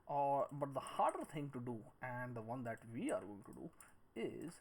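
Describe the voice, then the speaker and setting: A man's voice: medium pitch, sing-song, moderate pace. A man giving a casual screen-recorded 3D modelling tutorial, talking through each step as he works. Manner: talks through each step while working, muttering counts and shortcuts. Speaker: 3D modelling instructor. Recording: clear background noise, keyboard and mouse clicks. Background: noise with typing and clicking.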